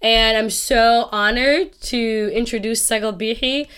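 A woman's voice: on the high side, fairly even intonation, a little slow, fairly bright tone, clear, resonant tone. A woman hosting a podcast, introducing her guest in an earnest, casual way with many hedging "kind of"s. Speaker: podcast host.

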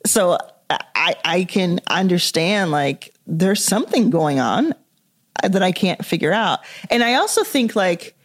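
A woman's voice: fairly low pitch, expressive, measured pace. A woman hosting a podcast interview, thinking aloud in a casual, searching way with lots of "like" and "you know.". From Dallas, Texas, US. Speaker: podcast host.

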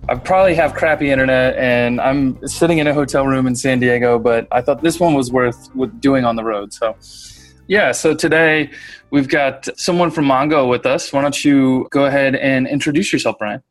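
A man's voice: mid-range voice, moderate pace, dry recording, soft background music. A man hosting a podcast from a hotel room, chatting casually and asking his guest friendly questions. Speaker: podcast host.

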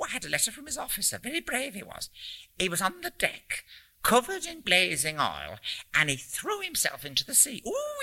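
A man's voice: very high voice, bright, forceful tone, breathy edge, pitched above the performer's own voice, full character voice. A man voicing a little old English lady from the North Country, chatty and cheerful, as he reads a story aloud. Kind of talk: acted dialogue in a story read aloud.

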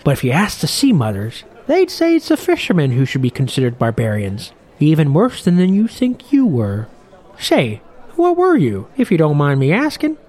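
A man's voice: fairly high pitch, huge pitch swings, normal speed, darkish tone, pitched above the performer's own voice. A man voicing a short, jolly old fishing boat captain who talks in a chatty, boisterous, lilting way.

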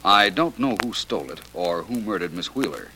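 A low, forceful voice for an urbane detective in a vintage radio play. He is crisp and self-assured, with animated swings in intonation, over a slightly noisy old recording. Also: moderate pace, heavily acted. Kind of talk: 1940s-50s radio drama acting.

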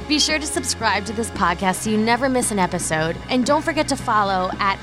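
A woman hosting a podcast in casual chat, laughing often. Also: medium pitch. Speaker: podcast host.

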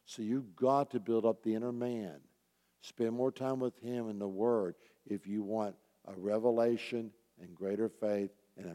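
A man's voice: fairly low pitch, dark tone. A man preaching to a church group as its pastor, earnest and urging, repeating key phrases and posing questions. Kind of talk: sermon, exhortation with rhetorical questions. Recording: roomy hall with long reverb.

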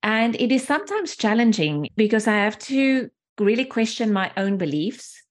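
A woman's voice: on the high side, very animated, moderate pace. A woman in her forties from South Africa, a native Afrikaans speaker with a South African accent, speaking candidly and thoughtfully as an interview guest. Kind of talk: reflective personal conversation.

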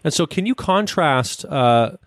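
A man's voice: on the high side, expressive, a little slow, no echo, clean studio sound. A man from Canada hosting an interview podcast, friendly and rambling, with many fillers and restarts. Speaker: podcast host.

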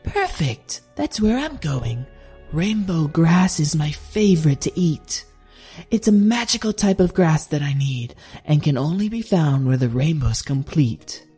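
A woman voicing a gentle, kind female unicorn in a children's story, speaking calmly and warmly. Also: sits low, measured pace, slightly rough, soft background music, heavily acted. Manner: calm, friendly.

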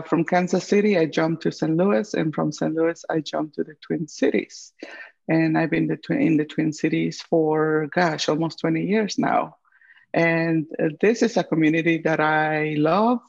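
Gravelly woman, lo-fi sound. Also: low voice, steady tone. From Guatemala. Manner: unhurried, many uh fillers and pauses. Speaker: guest, chef and entrepreneur. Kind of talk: interview answers. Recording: dull lo-fi audio.

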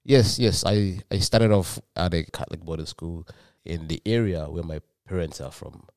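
Young Nigerian man, very low and dry, a podcast guest who answers in a halting way. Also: a little mellow, dry recording. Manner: halting, repeats words while searching for them. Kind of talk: casual interview answers.

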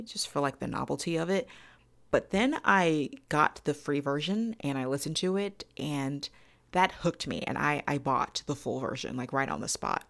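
A woman's voice: sits low, creaky, fairly bright tone. A woman hosting a podcast review in an enthusiastic, emphatic and playful way. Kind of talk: semi-scripted podcast review.

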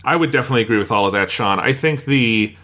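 Blunt man with a bright voice. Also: medium pitch, fast talker. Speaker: podcast co-host reviewing media. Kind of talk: casual review discussion.

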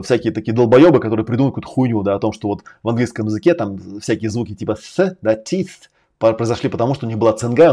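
A man's voice: on the low side, mellow. A man hosting a live stream, lecturing in a casual, emphatic way, categorical and often swearing. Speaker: host and language-learning coach.

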